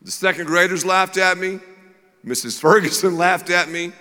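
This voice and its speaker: A man's voice: high-pitched, fairly even intonation, moderate pace, bright, forceful tone, in a large hall. A man who is a pastor, preaching a sermon in a candid, self-deprecating storytelling way.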